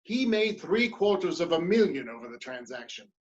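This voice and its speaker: A woman's voice: fairly low pitch, very animated, moderate pace, darkish tone, highs cut off. A woman playing a proud, ambitious male politician in a dramatic play reading, speaking with theatrical emphasis and defiance. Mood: defiant, proud.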